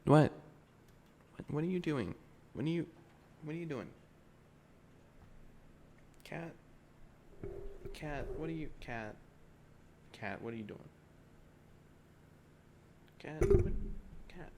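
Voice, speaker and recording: A man with a breathy voice in casual outtake chatter, coaxing a pet in a repetitive, amused and exasperated way. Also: on the high side, expressive, takes it slowly, long pauses, dark tone, slight background noise.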